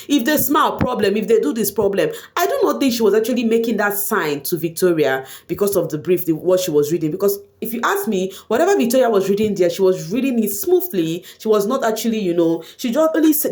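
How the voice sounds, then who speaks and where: A woman with a Nigerian accent and Igbo as her first language, giving casual gossip commentary straight to her viewers and slipping in Pidgin slang. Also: mid-range voice. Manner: animated, addresses viewers directly, mixes in Pidgin slang. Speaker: online reality show commentator.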